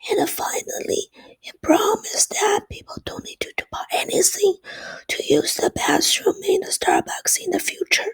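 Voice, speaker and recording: A woman giving a class presentation in a hoarse, nearly lost voice from a cold, hesitant with many fillers. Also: extremely high pitch, normal speed, rough recording. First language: Chinese. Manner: mostly whispered, hesitant with fillers. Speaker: student presenter.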